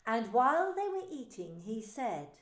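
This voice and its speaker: A woman narrating a dramatised Bible story in scripted, expressive storytelling. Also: fairly high pitch, a little slow. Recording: echoey room with faint music.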